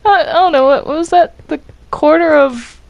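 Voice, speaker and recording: A woman's voice: sits high, normal speed, vocal fry. A woman chatting casually with friends during a game, giggly and given to exclaiming. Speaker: player in a Minecraft roleplay group.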